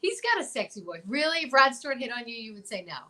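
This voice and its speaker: A woman co-hosting a casual show, chatting and telling stories in an animated, gushing, joking way. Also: on the high side, fast. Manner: animated, gushing, jokey storytelling. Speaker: show co-host. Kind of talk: casual comic chat. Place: remote livestream from quarantine at home.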